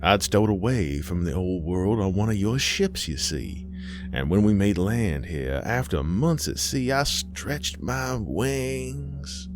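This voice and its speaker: A man voicing a sly, ancient female demon in a horror story, taunting and theatrical with drawn-out phrasing. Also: fairly low pitch, expressive, over music. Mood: gloating.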